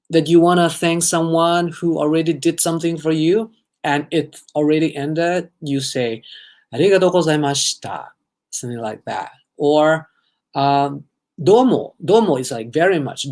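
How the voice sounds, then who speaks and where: A young Thai man with a Thai accent hosts a relaxed live stream. He talks easily and at length, answering viewer comments as they come in and scattering foreign greetings through his chat. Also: fairly high pitch. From Thailand. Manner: chatty, reads out viewer comments, sprinkles in foreign phrases. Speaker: livestream host.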